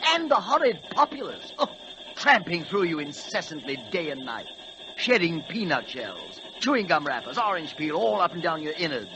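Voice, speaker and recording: A snobbish royal express train, speaking in a high, swooping upper-class English voice. He is fussy and pompous in his complaints, in the manner of old radio acting. The broadcast is audibly noisy. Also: big pitch swings, moderate pace. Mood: exasperated. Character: snobbish British royal express train, haughty, peevish, bored. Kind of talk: 1940s radio play acting.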